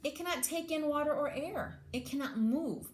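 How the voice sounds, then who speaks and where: A woman's voice: sits high, moderate pace, a little hiss or hum. A woman teacher reading a picture book aloud to children, adding simple explanations and questions for her young listeners. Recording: echoey room sound.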